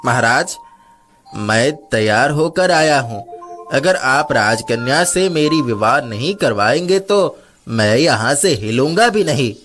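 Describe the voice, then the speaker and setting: A man's voice: medium pitch, expressive, slow, fairly bright tone, faint music behind. A man voicing an earnest, determined young farmer's lad in a cartoon story, polite and firm. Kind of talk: Hindi cartoon story character dubbing.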